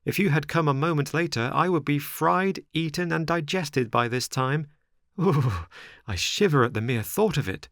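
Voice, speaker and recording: A man voicing a frightened young wooden puppet boy with a high, pleading, tearful voice. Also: lively intonation, normal speed, few pauses, no room sound, studio quality. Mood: shaken, grateful.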